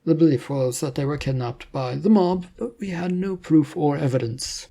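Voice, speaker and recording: A narrator voicing a composed male secret agency boss in an adventure story, speaking politely and formally in measured phrases. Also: medium pitch, vocal fry, dark tone. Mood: matter-of-fact.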